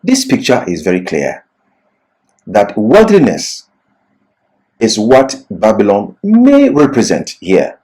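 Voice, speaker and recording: A man's voice: lowish voice, wildly swooping pitch, slow pace, pauses often, darkish tone. A man giving an expository Bible lecture as a teacher, in a didactic, emphatic manner.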